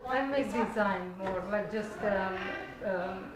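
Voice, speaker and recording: A woman with a Slovenian accent speaks at a live, informal Q&A in a roomy, reverberant space with clear noise in the recording. She feels her way through simple non-native English, pausing to find words. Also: mid-range voice. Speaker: puppet designer and puppeteer. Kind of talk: informal Q&A talk after a show. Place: echoey room at a live Q&A.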